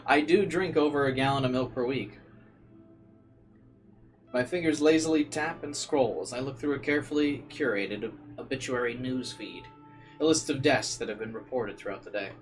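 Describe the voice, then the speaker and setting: A man's voice: mid-range voice, moderate pace, faint music behind, rough recording. A man reading visual novel narration aloud as a streamer, dropping into informal side comments and occasionally stumbling over words.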